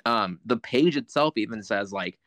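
A man's voice: fairly low pitch, animated, moderate pace, fairly bright tone, no room sound, clean studio sound. A man co-hosting a podcast, chatting casually about video games and rambling with lots of fillers. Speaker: podcast co-host. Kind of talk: casual chat.

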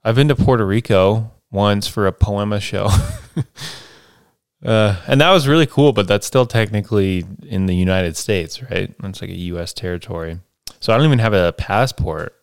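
Young male podcast host, lowish voice, smooth and clean, close dry mic, casual rambling monologue. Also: moderate pace, dry recording. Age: 20s. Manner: rambling, reflective, lots of fillers and tag questions.

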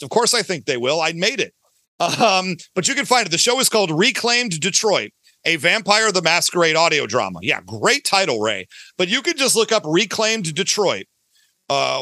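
Male, high-pitched, close dry sound, chatty, eager, self-deprecating, podcast guest. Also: pushed and bright, no echo. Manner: talkative, enthusiastic, self-deprecating. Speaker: podcast guest, audio drama creator. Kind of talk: casual promotional chat.

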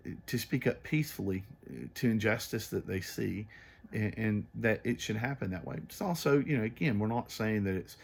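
Man, 30s, low and earnest, halting co-host. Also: gravelly, husky. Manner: earnest, searching for words, many repeats. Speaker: podcast co-host. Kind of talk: casual faith conversation.

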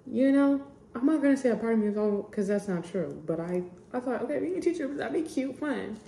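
A woman's voice: fairly high pitch, lively intonation, brisk, creaky, warm tone, slight background noise. A young woman in her twenties sniffling a little while she chats in a candid, rambling way as a podcast host. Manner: rambling, candid, full of "like" and "I just". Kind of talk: casual personal chat. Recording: echoey room.

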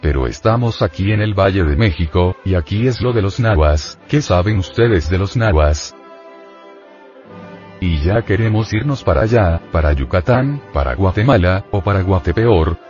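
A man's voice: low voice, lively intonation, normal speed, a little mellow. A man giving an informal lecture to his students as their spiritual teacher, insistent and admonishing, putting rhetorical questions to them. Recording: dull lo-fi recording with faint music.

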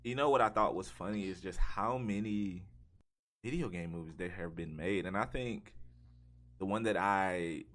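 A man's voice: low voice, normal speed, a bit bright. A man co-hosting a podcast, chatting in a joking, informal, slangy way. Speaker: podcast co-host. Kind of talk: casual chat about video game movies.

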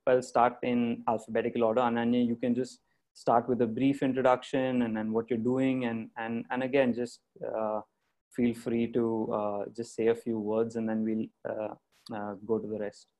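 A man hosting a live online group discussion over a video call, talking haltingly with many fillers and restarts. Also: mid-range voice, little pitch movement, a little mellow, low-quality recording. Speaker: host.

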